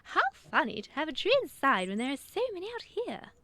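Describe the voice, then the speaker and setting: A woman's voice: sits high, very animated, a little fast, bright, forceful tone, dry recording, pitched above the performer's own voice, heavily acted. A woman voicing a chirpy, amused female dove in a children's storybook, full of wonder.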